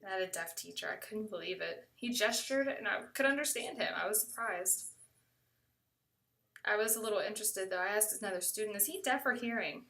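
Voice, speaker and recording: A woman with an American accent recounts a personal story in a conversational style. Her voice is bright and clearly edged, at an average pitch for a woman. It sounds slightly distant from the microphone. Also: a little fast.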